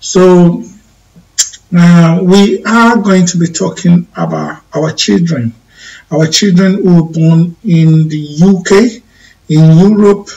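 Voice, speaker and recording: A Nigerian man hosting a live call-in talk show from a UK studio, talking slowly with many hesitations and restarts. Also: sits high, darkish tone, far from the mic, dull audio. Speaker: radio show host.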